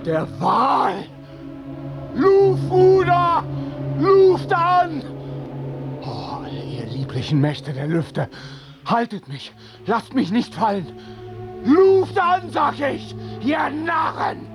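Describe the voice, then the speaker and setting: A male actor playing a frantic whaling officer in a radio drama, shouting urgent commands at sea. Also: very high voice, sing-song, takes it slowly, exaggerated voice. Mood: desperate, pleading. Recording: loud music underneath.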